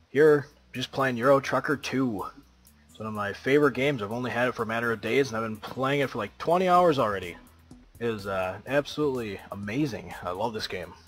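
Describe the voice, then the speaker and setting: A man's voice: medium pitch, fairly bright tone. A man from the American Midwest casually commentating as he plays a driving game, chatty and rambling with lots of fillers. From Minnesota, United States. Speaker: let's play host.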